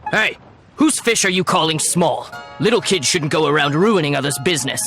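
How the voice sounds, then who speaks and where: A grumpy market fish seller with a gravelly voice. The delivery is scolding and indignant, as in a video game. Loud music runs underneath. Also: medium pitch, animated, a little fast. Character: gruff, irritated adult fish seller scolding children. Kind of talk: video game character acting.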